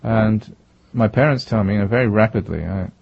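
Husky-toned soft-spoken author, dry lo-fi. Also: male voice, on the low side, fairly quick, no echo. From Japan, raised in England. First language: Japanese. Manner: thoughtful, many restarts and self-corrections. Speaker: author guest. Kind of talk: reflective interview answers. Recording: dull lo-fi recording.

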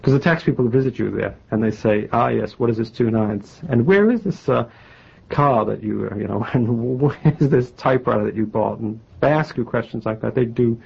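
Gravelly American man, halting interview talk. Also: medium pitch, darkish tone. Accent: faintly English-tinged American. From New England, United States. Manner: halting, many restarts, wry. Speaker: novelist guest. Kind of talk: conversational interview answers. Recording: muffled lo-fi interview tape.

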